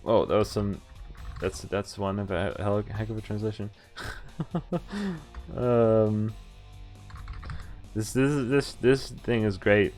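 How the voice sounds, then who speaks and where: Man, lowish pitch, game streamer, casual read-aloud with commentary, informal and amused, soft background music. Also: steady tone, slow, long pauses. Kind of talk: casual let's play, reading visual novel text aloud with commentary.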